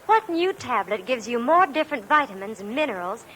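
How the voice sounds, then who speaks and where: A woman's voice: on the high side, sing-song, brisk, a bit bright, poor audio. A woman playing an inquisitive shopper in a 1940s radio advertisement. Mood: curious. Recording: old radio recording, faint noise.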